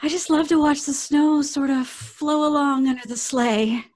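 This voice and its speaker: A woman acting sweet, high-voiced dreamy Edna. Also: fairly even intonation, normal speed, a little mellow, lightly acted. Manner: earnest, mild, slightly hesitant. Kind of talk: old-time radio drama acting.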